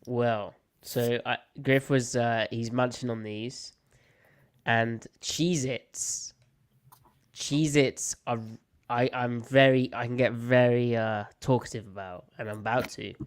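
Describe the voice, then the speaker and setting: Young British male podcast host, smooth-voiced, a bit snuffly, playful and opinionated. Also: mid-range voice, fairly even intonation, slow. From United Kingdom. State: slight head cold, snuffly. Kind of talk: casual live podcast chat.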